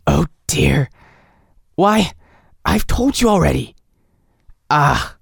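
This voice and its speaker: A woman's voice: low-pitched, very animated, takes it slowly, vocal fry, husky, no echo, clean studio sound, exaggerated voice. A woman doing a weary muttering doctor. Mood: weary reluctance. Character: exhausted feverish male country doctor.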